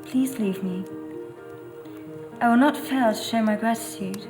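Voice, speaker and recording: A woman's voice: a little high, measured pace, music in the background. A woman playing a young, coquettish and indignant lady in a dramatized memoir, with emphatic, haughty period speech. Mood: pleading.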